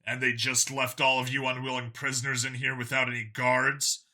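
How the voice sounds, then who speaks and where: Man acting a forceful, angry human cop. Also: mid-range voice, little pitch movement, normal speed. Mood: stern, demanding. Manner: forceful, demanding, blunt.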